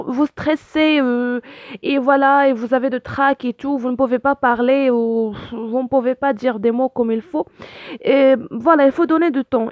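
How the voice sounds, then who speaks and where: A woman whose first language is Arabic teaches a French lesson in a slightly high voice. She fills her talk with "voilà" and stops to hunt for words, correcting herself as she goes. The sound is dry and close. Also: darkish tone, dry recording. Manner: many fillers, repeats "voilà" often; searches for words, self-correcting. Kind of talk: spoken lesson to camera.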